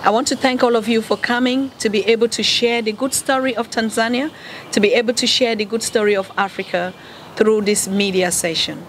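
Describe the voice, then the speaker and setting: A woman's voice: a little high, fairly even intonation, fairly quick, a little hiss or hum. A middle-aged woman with a Cameroonian accent, giving a speech as a guest at a press conference. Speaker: guest speaker, media founder.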